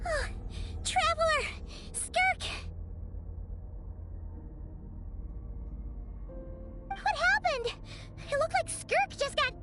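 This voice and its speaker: Extremely squeaky, excitable little fairy. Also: female voice, slow pace, over music. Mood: worried. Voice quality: squeaky, piercing. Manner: breathless, exclamatory. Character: tiny excitable childlike fairy companion, anxious and squeaky. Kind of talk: English-dubbed fantasy video game dialogue.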